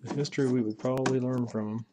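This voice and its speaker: A man's voice: medium pitch, steady tone, quick pace, noisy background. A man giving a casual, unscripted hobbyist walkthrough in a folksy way, musing aloud as he handles parts. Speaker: hobbyist radio restorer presenting a repair video.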